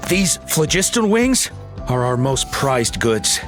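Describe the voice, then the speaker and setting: Salesy traveling merchant. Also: male voice, medium pitch, very animated, slow, a bit bright, faint music behind. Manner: earnest, salesy. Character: eager, grateful traveling male merchant. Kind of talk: game cutscene voice acting.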